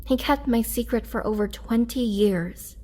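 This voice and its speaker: A woman playing a gentle, sorrowful, youthful-looking but centuries-old imprisoned vampire, telling her story in a hushed, halting way for a dramatic roleplay. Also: fairly high pitch. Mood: grateful, wistful.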